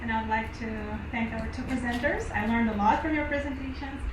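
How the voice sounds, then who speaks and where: A woman's voice: on the high side, fairly quick. Woman presenting from a distant mic. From Uzbekistan. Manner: non-native phrasing, occasional laughs. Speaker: guest speaker. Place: university event room. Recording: echoey room from far off, noisy.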